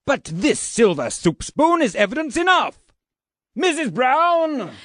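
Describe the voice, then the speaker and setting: A man plays an officious police sergeant in a radio mystery. His formal, methodical questioning swings widely in pitch, in a dry recording. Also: very animated, a little slow, a bit bright, no room sound, full character voice. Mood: accusing. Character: brisk, methodical police sergeant investigating a death. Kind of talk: radio murder mystery acting.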